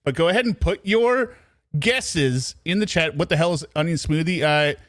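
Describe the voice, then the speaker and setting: A man's voice: fairly high pitch, moderate pace, a bit bright, no room sound, clean studio sound. A man hosting live true-crime commentary for a chat audience, speaking in a blunt, mocking way. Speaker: host of commentary show.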